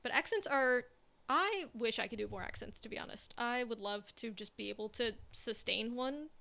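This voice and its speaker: Southern cali woman with lots of vocal fry, laid back rambly podcast chat. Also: on the high side, normal speed. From Southern California. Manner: relaxed, rambling, trailing off mid-thought. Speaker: podcast co-host. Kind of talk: casual chat.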